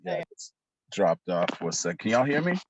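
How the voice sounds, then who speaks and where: Deep-voiced man organizer on a video call. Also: big pitch swings, vocal fry, muffled recording, a little hiss or hum. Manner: casual, halting with many ums. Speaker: community organizer, panelist. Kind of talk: online panel discussion.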